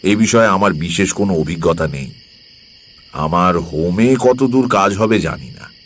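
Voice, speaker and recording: A man playing a calm, knowing occult priest who recounts a horror story in a measured, explanatory way. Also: sits low, slight background noise. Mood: calm, candid. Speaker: narrator. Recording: long reverb, faint music behind.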